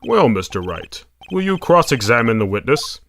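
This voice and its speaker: A man's voice: mid-range voice, sing-song, normal speed, fairly bright tone, slight background noise. A man playing a pompous, fussy old judge. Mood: formal. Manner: formal, pompous, easily swayed. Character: fussy older male judge. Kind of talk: fan dub game voice acting. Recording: with background music.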